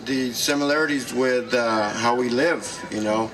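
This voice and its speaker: A man speaking at a gathering in a slow, halting way, with many pauses and fillers. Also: medium pitch. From South Dakota, United States. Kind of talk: halting public address. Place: outdoor gathering. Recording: distant mic with noise.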